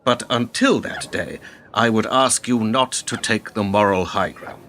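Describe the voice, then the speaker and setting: A man's voice: fairly low pitch, unhurried, a bit bright, a little hiss or hum. An actor playing a lofty, worldly older businessman who lectures in formal phrases in a radio play. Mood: lofty, lecturing. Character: older worldly, self-justifying spin businessman, lofty and paternal.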